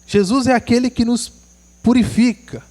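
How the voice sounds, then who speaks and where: Man, preacher, high pitch, earnest exhortation, faint mains hum. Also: fairly even intonation, normal speed, dark tone. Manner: earnest, exhorting, with rhetorical questions. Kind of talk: sermon.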